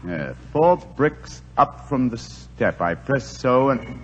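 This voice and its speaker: Sharp, unflappable detective; lively pitch, lo-fi vintage radio. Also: male voice, slow. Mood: keen. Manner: crisp, commanding, dryly amused. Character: brisk, cool-headed male detective. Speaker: actor playing a detective. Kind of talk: 1940s radio acting. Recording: dull old radio recording with hiss.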